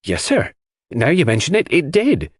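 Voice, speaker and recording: A deferential maidservant from a classic audiobook mystery as a male narrator puts her on. She is earnest and a little hesitant, lifting her pitch in lively swings. The recording is dry. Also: moderate pace, slightly rough, no echo, full character voice. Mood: surprised, helpful. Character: flustered, deferential female household servant.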